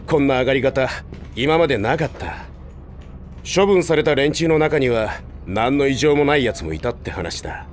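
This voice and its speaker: A man's voice: medium pitch, animated, moderate pace, natural voice. A man voicing a blunt android rebel. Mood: conspiratorial. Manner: rough, casual, insistent. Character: blunt young male android rebel, urgent. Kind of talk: Japanese audio drama acting. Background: background music.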